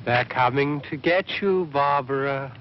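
A man's voice: mid-range voice, a little slow, natural voice. A man acting a mischievous, mocking young brother in an old horror film, with a playful, theatrical way of taunting. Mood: mocking, spooky. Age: young adult. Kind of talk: 1960s low-budget horror film acting. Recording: muffled, noisy old film soundtrack.

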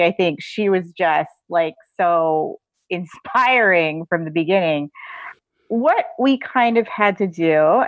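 A woman's voice: low voice, dry recording. A woman giving a casual, chatty interview as a guest author, in a giggly manner. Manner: chatty, giggly asides mid-sentence. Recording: thin phone-like call audio.

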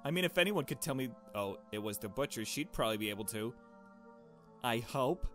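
A man's voice: mid-range voice, expressive, fairly quick, a bit bright, soft background music, close to an everyday voice. A man voicing a nervous, shaken young woman who narrates a visual novel mystery in a hesitant, uneasy way. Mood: anxious. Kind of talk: visual novel story read aloud.

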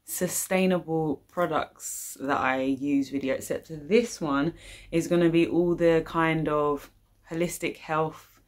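A woman's voice: deep voice, fairly even intonation, a little slow. A young adult woman with a British accent, chatting casually and informally as she hosts her vlog. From United Kingdom. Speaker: YouTube channel host. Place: living room, indoors.

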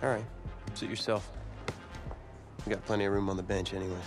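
An actor plays a cocky teenage male quarterback in a game cutscene. He talks fast in a low, blunt, dismissive voice, with music clearly behind him. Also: flat delivery, lightly acted. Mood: boastful, dismissive. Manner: boastful, dismissive. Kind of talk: cinematic video game dialogue.

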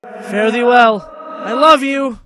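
A man playing a genteel, grateful gentleman ghost in a game dub, speaking in florid, formal, theatrical phrases. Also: extremely high pitch, takes it slowly, slight background noise, full character voice. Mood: grand farewell.

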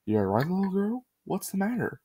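A man's voice: high voice, sing-song, brisk, warm tone, husky, voice pushed up, heavily acted. A man voicing a worried, puzzled female creature who keeps asking questions. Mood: concerned.

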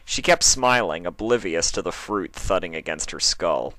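A man's voice: low voice, lively intonation, moderate pace, sharp tone, no echo. A man reading stories aloud as narrator, with a casual, storytelling style. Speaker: fan fiction reader and narrator.

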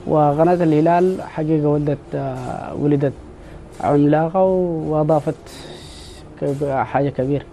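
A young man in his twenties with a Sudanese Arabic accent, a football fan and medical student, answering earnestly in a studio interview with frequent halting restarts. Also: fairly high pitch, creaky, warm tone, a little hiss or hum. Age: 20s.

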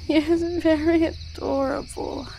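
A woman's voice: high voice, level tone, a little slow, gravelly, warm tone, a little hiss or hum, low-quality recording. A woman voicing a playful, doting goddess sorceress in an ASMR roleplay, cooing and teasing affectionately.

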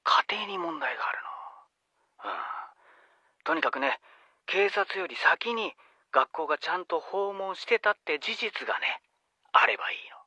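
A man's voice: high voice, lively intonation, normal speed, long pauses, pushed and bright, no echo, heavily acted. A man acting a patronizing, dismissive middle-aged vice principal in a radio drama. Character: condescending middle-aged vice principal, coldly self-serving. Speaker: actor.

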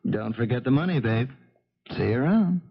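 An actor playing a cocky, sleazy lover and blackmailer, slangy and smug, in a 1970s radio drama. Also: male voice, mid-range voice, animated, measured pace, creaky, husky, heavily acted. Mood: smug menace. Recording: muffled 1970s radio broadcast.

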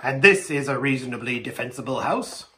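A man's voice: mid-range voice, animated, a little fast, sharp tone, a little hiss or hum. A man voicing a sturdy, blunt male dwarf warrior with a dry manner, in a storybook reading. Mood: defiant.

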